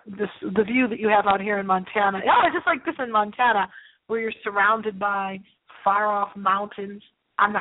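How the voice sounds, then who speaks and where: A middle-aged woman hosting a late-night horror movie radio show, rambling theatrically and repeating her phrases. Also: mid-range voice. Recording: thin, phone-like lo-fi sound.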